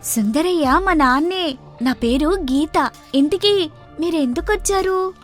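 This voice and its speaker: మహిళ పలికే అమాయకపు, ధైర్యమైన పల్లె యువతి, హెచ్చు స్వరం. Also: female voice, high voice, takes it slowly, faint music behind, pitched above the performer's own voice. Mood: childlike. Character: bold, childish, simple-minded young village woman.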